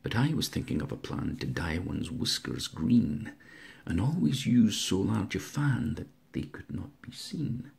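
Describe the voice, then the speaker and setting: Very husky, low-pitched man reading aloud. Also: big pitch swings, unhurried, darkish tone. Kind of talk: informal introduction and dramatic poetry recital.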